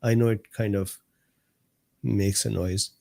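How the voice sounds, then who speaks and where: A man with a mellow, slightly hoarse voice hosts a live stream from his desk mic. He reads viewer comments aloud in an unhurried, easygoing way. He laughs often and answers viewers by name. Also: lowish voice, fairly even intonation. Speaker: host of a live medical talk stream. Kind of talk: casual livestream chat reading viewer comments.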